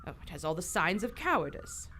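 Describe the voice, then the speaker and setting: A woman's voice: fairly low pitch, big pitch swings, fairly quick, sharp tone. A woman playing a poised, wry aristocratic British explorer in live radio theater, dry and commanding. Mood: sombre, reflective. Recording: live stage recording with faint noise.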